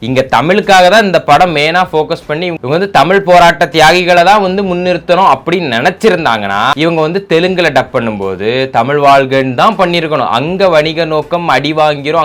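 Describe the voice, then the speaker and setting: A man with a fairly high voice talks very quickly. He delivers opinionated political commentary in an argumentative way, throwing out rhetorical questions and dropping in English words. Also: fairly bright tone.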